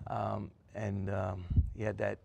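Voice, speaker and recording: Gravelly, low-voiced New guy in his middle years, a chatty guest telling road stories. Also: completely flat delivery, slow, darkish tone. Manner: rambling storyteller, many restarts and fillers. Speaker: guest musician. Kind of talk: casual chat.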